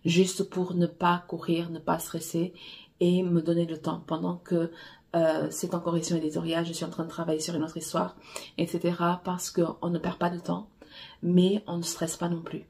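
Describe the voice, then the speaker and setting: A woman's voice: lowish voice, flat delivery, normal speed, creaky, a little mellow. A woman whose first language is Portuguese, a romance author giving frank, direct writing advice in a casual way with frequent hesitations. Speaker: romance author coaching writers.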